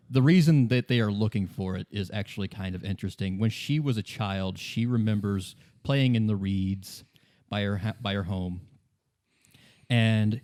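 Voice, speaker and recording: A man hosting as game master, narrating a story in a halting, conversational way and repeating words. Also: lowish voice, darkish tone. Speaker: game master and podcast host. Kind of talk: casual improvised narration of a tabletop-style story.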